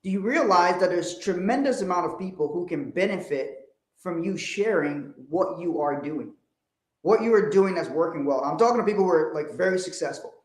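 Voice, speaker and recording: A man's voice: high-pitched, lively intonation, normal speed, darkish tone. A man from Liberia, hosting a casual livestream about money and business, talking emphatically, repeating phrases and swearing bluntly. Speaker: financial adviser host. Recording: echoey room.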